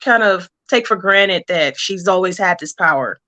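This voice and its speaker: A woman's voice: fairly low pitch, expressive, normal speed, bright, forceful tone. A woman speaking casually as a creator being interviewed about their work.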